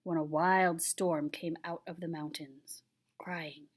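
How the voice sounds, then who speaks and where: A woman's voice: on the low side, steady tone, takes it slowly. A woman voicing a patient, solemn old grandfather who tells a tale in a grave, tender way, reading a children's picture book.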